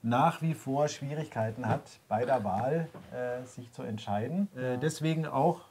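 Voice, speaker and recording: A man hosting a talk show, halting and full of filler sounds. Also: mid-range voice, a little mellow, slight background noise. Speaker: host. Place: small cluttered studio room, indoors.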